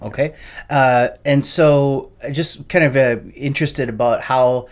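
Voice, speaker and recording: A man's voice: medium pitch, steady tone, a little slow. Hesitant male interviewer, full of uhs. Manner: hesitant, many uhs and restarts in questions. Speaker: podcast host, interviewer. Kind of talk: casual interview.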